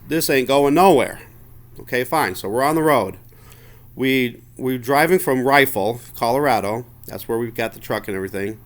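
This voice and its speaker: A man with a medium-pitched voice lays out a customer complaint in plain, informal words. He speaks haltingly, often doubling back and repeating himself. He comes across as aggrieved. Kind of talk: informal spoken account of a grievance.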